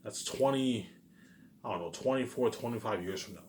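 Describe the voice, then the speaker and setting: A man's voice: mid-range voice, normal speed, a little mellow. A man talking casually in a rambling, repetitive monologue, musing aloud like a vlogger. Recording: noisy amateur recording.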